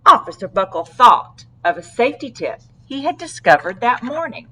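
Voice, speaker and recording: A woman narrating a children's storybook aloud with deliberate, dramatic phrasing. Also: fairly high pitch, very animated, pushed and bright, slightly rough, rough recording. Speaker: storybook reader.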